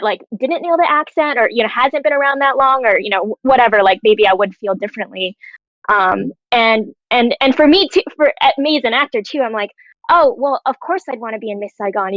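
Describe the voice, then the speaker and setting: A woman's voice: on the high side, no echo, highs cut off. A woman chatting casually in a panel discussion, candid and informal, with plenty of "like" in her phrasing. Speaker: actor and writer on a panel.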